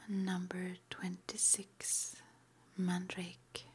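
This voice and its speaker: A woman doing an ASMR roleplay in a breathy, unvoiced whisper, with a gentle, unhurried manner. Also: fairly low pitch, completely flat delivery, slight background noise. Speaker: ASMR roleplay performer playing a witch school interviewer.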